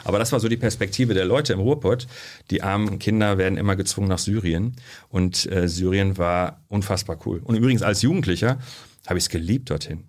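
A man from Germany, a sociologist guest, telling stories in a relaxed studio interview, with lots of fillers. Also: sits low, brisk. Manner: chatty storyteller, frequent fillers.

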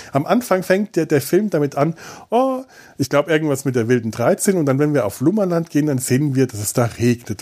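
A man speaks in a mellow, dark voice with a husky grain. He delivers a casual podcast monologue, circling back on his points with wry, overblown repetition. His phrasing is halting, full of doubled words and fresh starts. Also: on the high side. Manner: wry, exaggerated repetition for comic effect; halting, often restarts and repeats words. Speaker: podcast host. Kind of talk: casual spoken film review, loose monologue.

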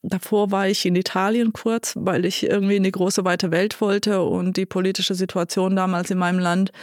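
A woman in her fifties from Croatia with a Croatian accent, answering interview questions thoughtfully with many pauses and fillers. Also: mid-range voice, flat delivery, darkish tone, no echo, clean studio sound. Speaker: guest, nursing director.

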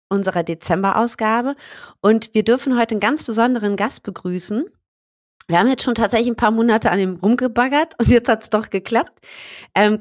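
A woman's voice: mid-range voice, no echo. A woman co-hosts a podcast in a chatty, joking manner, with a slight cold in her voice. The recording is dry and close. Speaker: podcast co-host. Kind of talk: casual podcast chat.

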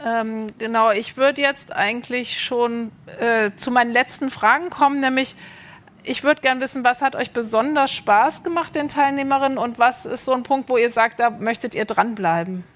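A woman's voice: fairly high pitch, moderate pace. A woman moderating a panel discussion, asking the participants questions and handing over to them. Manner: asks questions, guides speakers.

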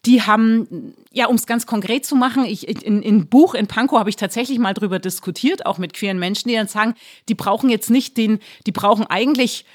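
Measured woman politician explaining. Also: fairly high pitch. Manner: measured, explanatory, with frequent "so" and filler pauses. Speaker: politician running for a district seat. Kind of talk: interview answers.

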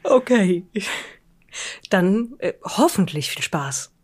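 A woman's voice: mid-range voice, expressive, takes it slowly, darkish tone, faint music behind. Female podcast co-host, mid-range voice, casual, unscripted, conversational.

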